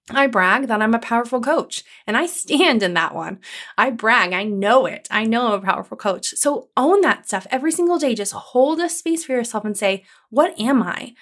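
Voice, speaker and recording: Upbeat encouraging woman podcast host. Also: on the high side. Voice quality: calming voice. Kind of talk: solo podcast episode.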